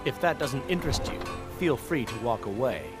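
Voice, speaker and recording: An actor voicing a guarded, haughty foreign mercenary in a fantasy video game, deliberate and clipped. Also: male voice, medium pitch, lively intonation, loud music behind the voice, natural voice. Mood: cold, dismissive.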